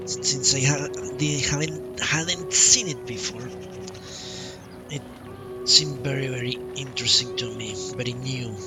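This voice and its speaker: A man giving casual live commentary on a game he is playing, musing and a little hesitant as he goes. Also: medium pitch. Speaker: game streamer. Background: game music.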